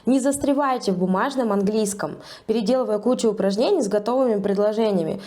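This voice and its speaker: Mellow-voiced woman teacher narrating. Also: medium pitch, fast. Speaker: English teacher. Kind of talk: scripted video lesson narration.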